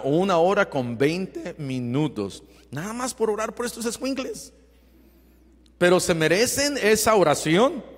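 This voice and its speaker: A male pastor preaching earnestly, with emphatic rising bursts and quiet asides. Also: fairly high pitch. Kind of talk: sermon. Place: church sanctuary. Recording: long hall reverb.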